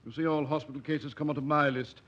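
An actor playing a dismissive, superior senior hospital doctor, clipped and condescending, in 1940s radio drama style. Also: male voice, fairly high pitch, fairly even intonation, fast, fairly bright tone. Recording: muffled old radio recording.

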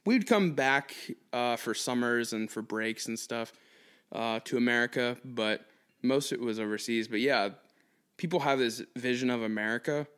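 A man's voice: mid-range voice, moderate pace, a bit bright, smooth. A man from Texas, raised mostly in Eastern Europe, chatting casually and jokingly as a podcast guest. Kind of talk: casual anecdotal chat.

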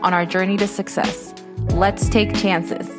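A woman's voice: mid-range voice, level tone, a little slow. A woman reading a scripted podcast intro in an upbeat promotional tone. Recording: over loud music.

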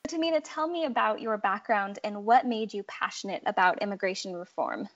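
A woman's voice: medium pitch, lo-fi sound. Woman podcast host reading an intro. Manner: clear, measured reading of a guest bio. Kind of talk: read introduction and interview questions.

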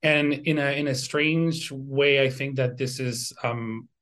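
A man in his forties from Venezuela talks in a reflective interview style. His pitch sits in the middle, and he often doubles back on small words while he gathers his thoughts. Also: fairly even intonation, a bit bright. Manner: halting, repeats words as he thinks. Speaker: composer and university music teacher, guest.

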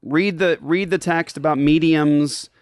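A man's voice: a little high, steady tone, measured pace, no room sound, clean studio sound. Man explaining scripture with many ums. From Japan. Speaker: podcast host answering listener questions. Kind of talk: casual Bible teaching chat.

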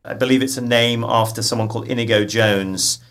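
A man interviewing a guest, asking long questions in a halting way with many restarts. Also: mid-range voice, fairly even intonation, a bit bright. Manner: halting, restarts his sentences.

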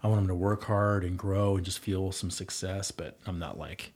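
Husky-voiced man, earnest and rambling. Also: sits low, fairly even intonation, moderate pace, darkish tone. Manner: earnest, rambling with many fillers and restarts. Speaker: guest and volunteer girls' basketball coach. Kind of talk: casual conversation.

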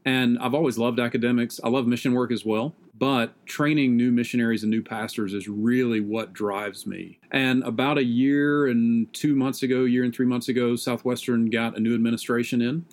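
A man's voice: mid-range voice, fairly even intonation, normal speed, hardly any pauses. Chatty Southern man on a video call. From South Carolina, US. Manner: chatty storyteller, acts out quoted exchanges. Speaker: seminary professor. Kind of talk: casual conversation.

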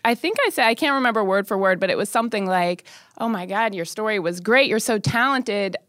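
A woman's voice: medium pitch, brisk, fairly bright tone, dry recording. A woman interview guest casually recalling personal memories in a studio.

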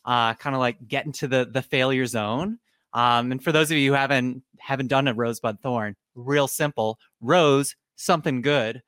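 Podcast co-host, male, hoarse scratchy voice, joking tone, dry close sound. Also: medium pitch, a bit bright, no echo. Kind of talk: casual chat.

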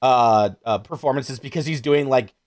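A man's voice: medium pitch, moderate pace. A man in a casual, opinionated chat about films as a podcast guest, swearing freely and wandering into asides.

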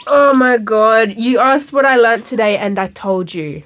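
A woman's voice: fairly high pitch, measured pace, a bit bright. An actress playing an exasperated schoolgirl granddaughter in a comic audio drama. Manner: exasperated, sighing.

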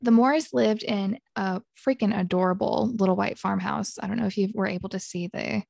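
A woman's voice: mid-range voice, steady tone, normal speed, creaky, a little mellow, dry recording, studio quality. A woman who sounds congested, co-hosting a podcast in a chatty, giggly, rambling way. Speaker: podcast co-host. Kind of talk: casual chat.

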